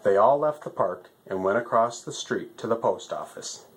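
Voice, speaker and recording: A man's voice: on the low side, animated, moderate pace. A man narrating a children's story as a storyteller.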